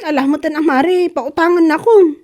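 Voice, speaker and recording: A woman's voice: high-pitched, normal speed, darkish tone, natural voice. A woman with a Filipino Ilocano accent playing a hard-up, complaining wife who keeps borrowing, in a comedy radio drama. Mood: pleading.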